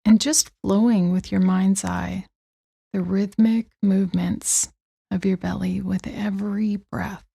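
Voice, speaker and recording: Mellow, gravelly-edged woman guiding meditation. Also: mid-range voice, fairly even intonation. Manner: soothing, gentle, unhurried phrasing with long pauses. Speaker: meditation guide. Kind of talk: guided body-scan meditation.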